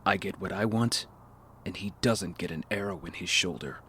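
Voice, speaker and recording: A man's voice: low voice, normal speed, a bit bright, a little hiss or hum. A man playing a brash, wry young American castaway and vigilante in an audio drama. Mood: cool, determined. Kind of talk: audio drama acting and narration.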